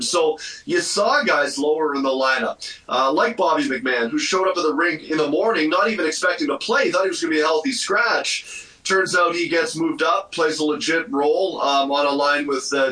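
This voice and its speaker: Forceful, bright male commentator in a roomy space. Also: a little high. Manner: opinionated, emphatic. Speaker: hockey radio commentator, guest. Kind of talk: casual sports commentary. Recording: roomy, slightly distant mic.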